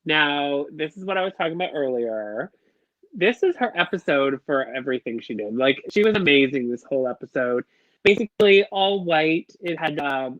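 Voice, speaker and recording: A man's voice: fairly high pitch, a bit bright, no room sound. A man co-hosting a casual review chat, gushing and bubbly, full of "like" fillers. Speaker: podcast co-host. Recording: dull lo-fi dry sound.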